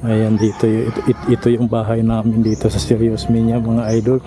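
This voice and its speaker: A middle-aged Filipino man narrating a casual road trip vlog from inside a car, chatting to his viewers in a relaxed way. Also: on the low side, brisk. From Philippines. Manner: relaxed, addresses viewers as idols, code-switches into English. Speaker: vlogger. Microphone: action camera mounted in the car. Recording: muffled camera audio with loud road noise. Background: car and road noise.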